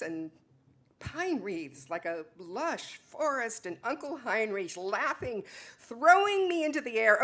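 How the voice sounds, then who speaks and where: A woman playing an exasperated, defensive wife in a dramatic stage reading, speaking emphatically. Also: a little high. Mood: fond reminiscence. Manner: emphatic, insistent, swinging from soft to loud.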